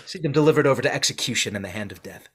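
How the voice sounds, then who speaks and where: A man in a video-call Shakespeare reading, playing an exiled duke who forcefully claims his inheritance. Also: medium pitch, expressive, very fast, slightly rough. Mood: condemning. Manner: forceful declamation. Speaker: actor. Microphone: video call webcam.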